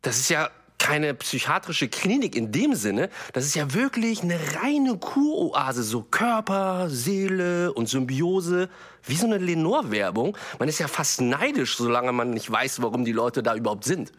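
Animated man acting a gushing interviewee. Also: fairly high pitch, a little slow, full character voice. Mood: impressed, wry. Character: impressed, slightly envious interviewed visitor to spa clinic. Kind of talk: casual documentary interview.

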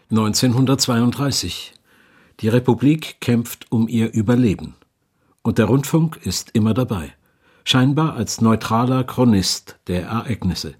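A man's voice: mid-range voice, darkish tone, breathy edge. A man reading scripted documentary narration in a sober, factual manner.

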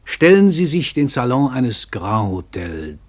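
An actor playing a pompous, cold-blooded famous crime writer in a radio play, declaiming in a grand, commanding way. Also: male voice, mid-range voice, big pitch swings, unhurried. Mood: pompous, sly. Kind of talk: German radio play acting.

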